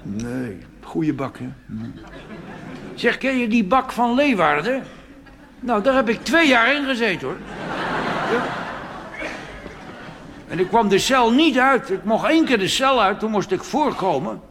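A man's voice: high voice, very animated, measured pace, long pauses, fairly bright tone, a faint crowd, a little hiss or hum, pitched above the performer's own voice, full character voice. A man playing a cheeky, half-deaf old street-organ grinder in a rambling, mumbling cabaret monologue. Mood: deadpan mischief. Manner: rambling, hesitant, mumbled asides.

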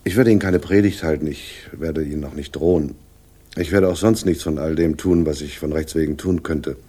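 Husky, low-voiced sardonic police inspector. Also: male voice, dark tone. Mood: grave warning. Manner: dry, condescending, deliberate. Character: sardonic, shrewd male police inspector, world-weary but superior. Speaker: actor in a radio crime drama. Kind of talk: 1960s German radio play acting, dialogue.

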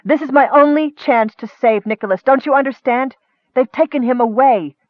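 Man voicing an imperious high-pitched young noblewoman, muffled. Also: fast talker, dry recording, close to an everyday voice. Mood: fierce. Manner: commanding, cutting, sarcastic. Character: imperious young noblewoman, fierce, bitter, urgent.

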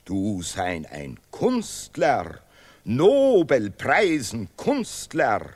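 Radio-play role: pompous zealot of language and fatherland, extremely animated, declamatory, ungrammatical, repetitive. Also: mid-range voice, extremely slow, slightly rough, heavily acted. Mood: grave, pompous. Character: pompous Nobel laureate artist, zealous patriot of language and fatherland. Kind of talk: experimental radio play dialogue in broken, chanted German.

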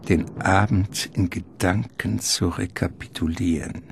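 Very deep, gravelly male narrator. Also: fairly even intonation, unhurried, noisy background. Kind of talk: literary scripted narration of a radio drama. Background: music.

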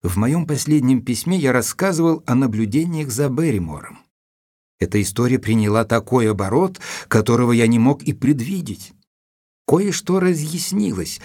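Earnest gentleman narrator, lowish voice, dry close sound, measured literary delivery, detective audio drama. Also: dry recording. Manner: measured, deliberate, literary phrasing.

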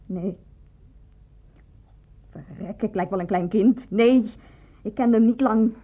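A woman's voice: mid-range voice, takes it slowly, breathy edge. A woman in a radio drama playing a wary, wounded nurse in her thirties who speaks in a defensive, confessional way. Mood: bitter confession. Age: 30s. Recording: dull lo-fi tinny radio play recording.

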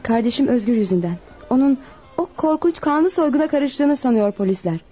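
A woman's voice: high voice, expressive, a little fast, mellow, slightly rough. A woman acting a young, respectful, worried waitress in a radio drama, earnest and deferential. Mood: anxious, defensive. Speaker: voice actor. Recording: dull, lo-fi radio play recording.

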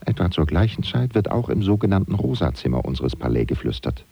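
A man's voice: deep voice, sing-song, a little fast, vocal fry, slightly rough, no echo, exaggerated voice. An actor playing a sly servant of a powerful statesman, narrating a German radio play in a wry, confiding way. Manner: wry, confiding, hushed at times.

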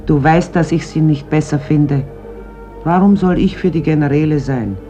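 A woman's voice: low-pitched, normal speed, mellow, soft background music, natural voice. An actress playing a stern, reproachful middle-aged mother of a poor fishing family in a radio play. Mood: reproachful, weary. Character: stern, weary forty-year-old fisherman's mother, wary of war. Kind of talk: stage-like radio drama acting. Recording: muffled old radio recording, clear hiss.

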